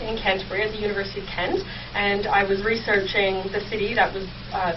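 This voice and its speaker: Young woman, slightly distant dull recording. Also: medium pitch. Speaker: guest, history researcher. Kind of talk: informal radio interview, explaining research. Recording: dull sound, faint noise, distant mic.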